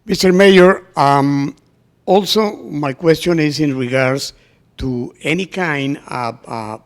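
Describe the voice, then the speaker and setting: Guy interviewing, slow and halting, pretty creaky voice. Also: a little high. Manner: halting, hesitant non-native phrasing. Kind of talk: interview questioning.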